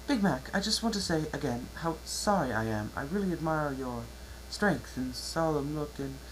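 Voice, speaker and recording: A lovestruck, awkward princess mare from a cartoon story , acted by an adult reader. Her voice sits higher than the reader's own and moves around a lot, with faint noise behind it. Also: lively intonation, moderate pace, lightly acted, low-quality recording. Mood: apologetic. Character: awkward, lovestruck royal pony princess of the night. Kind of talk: cartoon fan-fiction dramatic reading.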